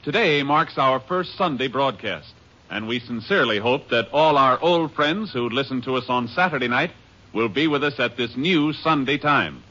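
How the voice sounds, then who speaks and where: A man's voice: medium pitch, lively intonation, normal speed, bright, forceful tone. A man with an American accent making formal, polished, scripted announcements in 1950s radio style. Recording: muffled old radio recording.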